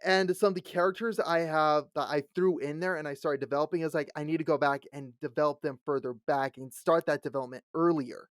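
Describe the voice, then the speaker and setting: A man's voice: fairly high pitch, fairly even intonation, quick pace, few pauses, clear, resonant tone, dry recording, clean studio sound. A man in his late twenties, co-hosting a podcast in casual chat, laughing often and acting out the people he quotes. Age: late 20s. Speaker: podcast co-host.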